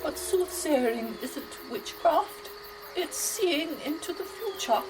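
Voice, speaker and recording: A woman's voice: high voice, expressive, takes it slowly, noisy background. A woman playing a pompous, mysterious old wise woman soothsayer in a comedy audio drama, drawing out her phrases theatrically. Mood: self-important.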